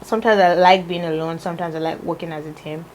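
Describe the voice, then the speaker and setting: A woman's voice: lowish voice, steady tone, darkish tone, slight background noise, low-quality recording. A woman vlogger reading out tag questions in a casual, teasing chat with her husband. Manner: playful, teasing, drawn-out musing.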